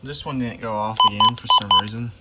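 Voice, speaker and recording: A man's voice: medium pitch, a little fast, heavy background noise. A man giving casual, muttered commentary as he records his radio at home. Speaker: weather radio hobbyist recording his receiver.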